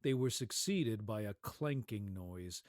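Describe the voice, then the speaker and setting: A man narrating a story dramatically, with theatrical emphasis and deliberate phrasing. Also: fairly low pitch, lively intonation, a little mellow, no echo, clean studio sound.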